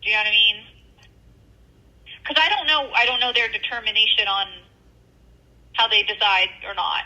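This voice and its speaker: A woman working a helpline, speaking gently and hesitantly with many fillers on a phone call. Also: medium pitch, moderate pace. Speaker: helpline worker.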